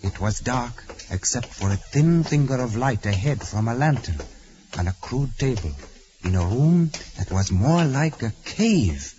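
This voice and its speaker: An actor plays the hero's sidekick narrating an old radio mystery. The man has a medium-pitched voice with dramatic, varied intonation. The vintage recording sounds dull. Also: expressive, unhurried, warm tone, a little hiss or hum, natural voice. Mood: suspenseful. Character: male sidekick narrating a tense mystery adventure.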